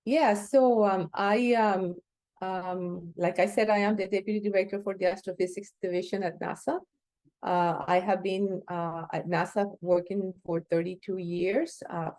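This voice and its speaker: A woman in her fifties from Costa Rica, speaking English with a Costa Rican accent as an interview guest, answering haltingly with many fillers and restarts. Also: fairly low pitch, a little mellow, dry recording. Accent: Costa Rican Spanish. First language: Spanish. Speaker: interview guest, space agency executive.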